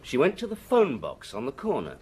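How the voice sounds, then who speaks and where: An actor playing a dutiful police detective, reporting in measured, factual, clipped phrases in a radio drama. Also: male voice, mid-range voice, wildly swooping pitch, darkish tone, a little hiss or hum. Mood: matter-of-fact.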